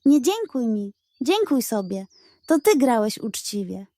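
A stern, upright Wild West sheriff from a children's storybook, acted out by a woman. He speaks slowly and gravely, in measured accusations, with a mellow tone. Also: fairly high pitch, very animated, dry recording. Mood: kindly.

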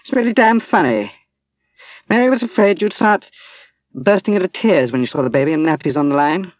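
A man voicing a young woman who is drunk, bitter and despairing, with a rising, shrill, emotional delivery. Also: animated, fairly quick, dry recording, studio quality, lightly acted. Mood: hysterical.

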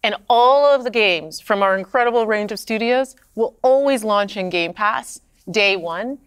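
A woman's voice: normal speed, smooth, no echo. A woman executive giving confident, polished answers on a podcast set. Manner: polished, confident pitch-like delivery. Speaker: gaming company executive. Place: studio set.

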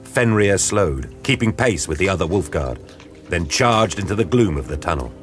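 A man giving a grave, measured, dramatic narration for an audio drama. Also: deep voice, lively intonation. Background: dramatic music.

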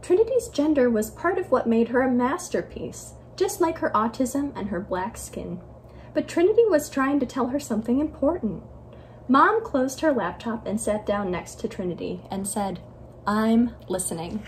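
A young American woman reading a children's story aloud at home as a library storyteller, expressive and carefully enunciated. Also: a little high, normal speed, a little hiss or hum. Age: young adult. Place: living room at home, indoors.

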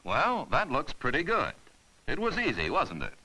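A man narrating an old instructional film in a bright, forceful voice that rises and falls with lively pitch. He explains each step patiently and clearly, like a 1950s classroom reel. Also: normal speed, rough recording. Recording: 1950s educational film soundtrack.

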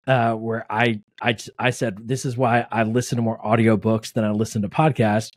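A man's voice: lowish voice, level tone, dry recording. A man in his forties hosting a podcast from a home studio, chatting casually and telling a story into a large studio mic. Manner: affirming, quick backchannels. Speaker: podcast host. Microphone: large studio mic with headphones.

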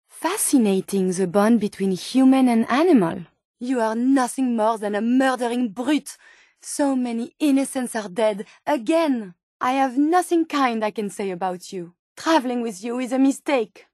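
An earnest, sensitive female robot scientist from a video game, with a French accent and a high voice. She speaks in formal, precise phrases, polite and curious. The recording is dry and close, with no room sound. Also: dark tone, no echo. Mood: disappointed, reproachful. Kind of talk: video game character dialogue.

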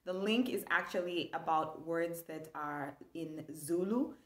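Animated young woman teaching a language. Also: sits low, measured pace, echoey room. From Zambia. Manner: animated, gestures a lot, enthusiastic. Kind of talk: lively online language lesson. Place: small room indoors.

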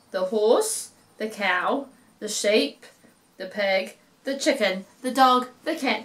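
A middle-aged woman, narrating a children's story in an animated way at home. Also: on the high side. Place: living room, seated in an armchair.

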